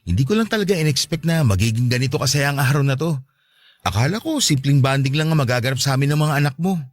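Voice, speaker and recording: A man's voice: medium pitch, animated, fast talker, dry recording, natural voice. A man playing a cheerful, devoted boyfriend in his thirties in an audio drama, earnest and conversational. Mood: happy, grateful. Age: 30s. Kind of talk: Tagalog audio drama dialogue.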